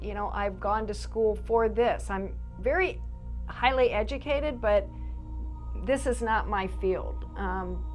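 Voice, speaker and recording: A forty-nine-year-old woman hosting her own show, speaking to the camera in an earnest, halting way with frequent restarts. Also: mid-range voice. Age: 40s. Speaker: show creator and host. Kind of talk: personal address to camera.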